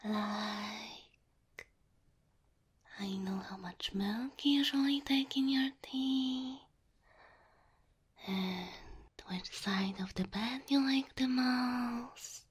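A woman plays a sweet, submissive girlfriend in an ASMR roleplay. Her voice is high, soft and breathy, and she takes her time. She coaxes tenderly, close to the microphone. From Slavic Eastern Europe. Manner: tender, slow, coaxing. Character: gentle, doting, submissive young cow-girl girlfriend.

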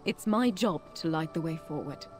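A woman's voice: sits low, lively intonation, normal speed, soft background music. An actress playing a resolute, protective woman mentor in a fantasy game. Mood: resolute, gentle. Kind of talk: scripted fantasy game voice acting.

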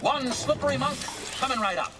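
A man's voice: very high-pitched, fairly quick. A man playing a cheery, wry robot bartender in a video game, with a showy salesman's manner. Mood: wry, chipper. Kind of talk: video game cutscene acting. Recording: long hall reverb under loud music.

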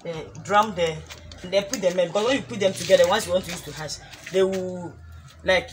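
A woman's voice: fairly low pitch, brisk. A middle-aged woman from Ghana, a fish farmer, earnestly explaining her work step by step outdoors in her yard. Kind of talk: casual explanation on a farm tour. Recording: outdoor recording with clear background noise.

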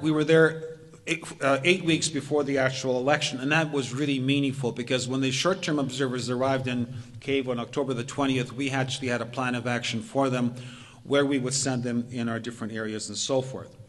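An older man giving a measured, methodical talk from a podium in a community hall. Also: mid-range voice, fairly bright tone. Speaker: presenter. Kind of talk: lecture presentation. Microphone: podium microphone, far camera. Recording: long reverb of a hall.

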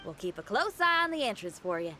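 A woman voicing a plucky, warm-hearted young rock musician in a video game, with a folksy drawl. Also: mid-range voice, big pitch swings, fairly quick, slight background noise. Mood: upbeat, determined.

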